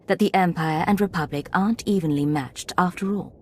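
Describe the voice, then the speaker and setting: A woman's voice: lowish voice, brisk, darkish tone, slight background noise, lightly acted. A woman playing a cool, guarded secret agent in a video game, speaking in a controlled, dry way. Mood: wary, controlled. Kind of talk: video game dramatic acting.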